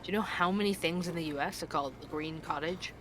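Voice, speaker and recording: Young investigative podcast host for audio drama, played by an actor; inquisitive, mid-pitched, background ambience. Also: fast talker, fairly bright tone, slight background noise, natural voice. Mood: musing, curious. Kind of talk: audio drama dialogue and narration.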